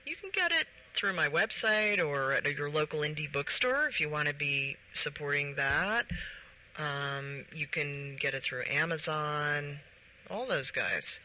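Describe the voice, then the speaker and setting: A woman author talking as a guest on a radio show. She explains her points earnestly, and her voice is very bright and crisp. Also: sits low, expressive, a little slow, slight background noise, low-quality recording. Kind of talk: casual interview chat.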